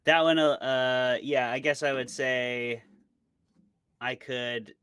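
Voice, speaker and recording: A man's voice: medium pitch, fairly even intonation, takes it slowly, a bit bright, clear, resonant tone, dry recording. A man being interviewed as an author, chatting casually and thoughtfully about his opinions, often qualifying them with asides. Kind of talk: casual chat about horror tropes.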